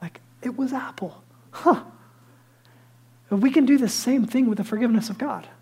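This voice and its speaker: Male pastor, high light voice, lively conversational sermon, hushed asides. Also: moderate pace, breathy edge. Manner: lively storytelling that drops to hushed, near-whispered asides; self-deprecating and conversational.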